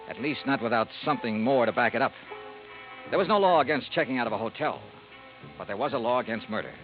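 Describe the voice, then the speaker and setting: An American man playing a terse, wry insurance investigator who narrates his case in 1950s radio noir style. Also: mid-range voice. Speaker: detective narrator. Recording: muffled vintage radio recording with music.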